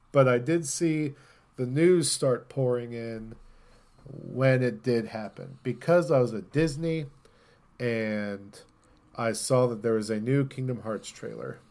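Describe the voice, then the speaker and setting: A man's voice: medium pitch, slow pace, a little mellow. A man co-hosting a podcast, chatting casually about gaming news in an informal, rambling way. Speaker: podcast host.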